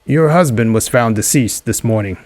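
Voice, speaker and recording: An actor playing a skeptical police lieutenant in 1940s radio drama style, official and matter-of-fact. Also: male voice, medium pitch, normal speed, a little mellow, dry recording, close to an everyday voice. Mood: formal.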